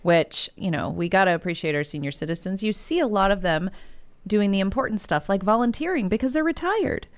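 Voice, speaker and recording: A woman's voice: medium pitch, expressive, brisk. A woman co-hosting a morning radio show, chatting casually, laughing often and reading out the day's national days in a studio. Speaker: radio co-host.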